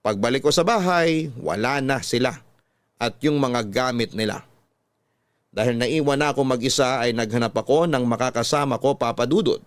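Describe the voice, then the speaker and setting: A man's voice: medium pitch, normal speed, no room sound. Male Filipino storyteller: mid-range voice, dramatic scripted narration, dry studio-like sound. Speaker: radio drama storyteller, host.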